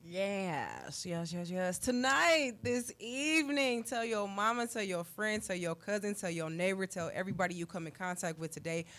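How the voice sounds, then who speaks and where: A woman's voice: medium pitch, animated, normal speed, hardly any pauses, a bit bright. A woman speaking as a community organizer on the radio, making a spontaneous announcement with emphatic repetition and direct urging. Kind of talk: spontaneous on-air event announcement.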